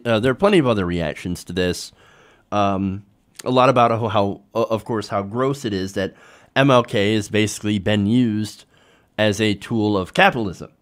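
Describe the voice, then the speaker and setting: A man hosting a political commentary show, talking informally to viewers in a conversational way with rhetorical tag questions. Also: lowish voice.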